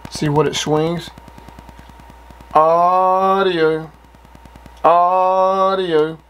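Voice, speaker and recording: A man's voice: high voice, level tone, extremely slow, a bit bright, poor audio. A man giving a casual demonstration of gear as a hobbyist. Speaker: radio hobbyist reviewing gear. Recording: clear background noise.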